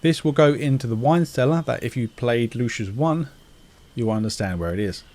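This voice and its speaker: A man's voice: medium pitch, expressive, moderate pace, a little mellow. A man giving casual, informal gameplay commentary, explaining things step by step in plain words. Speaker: video game walkthrough narrator. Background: game music.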